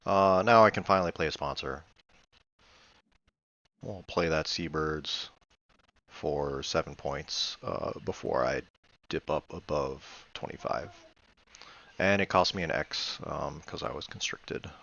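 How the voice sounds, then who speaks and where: A man's voice: a bass voice, slow pace, creaky, long pauses, sharp tone, lo-fi sound. A man giving casual commentary on a board game replay, thinking aloud in a hesitant, matter-of-fact way. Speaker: board game player reviewing own replay.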